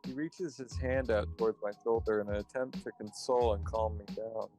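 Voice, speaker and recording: A man reading visual novel narration aloud, halting at times and correcting his slips. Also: fairly low pitch, fairly even intonation, music in the background.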